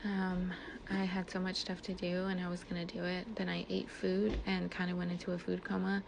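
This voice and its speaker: A woman's voice: fairly low pitch, vocal fry, background noise. A woman telling a casual, personal story about her day in an emphatic, conversational way, acting out bits of dialogue.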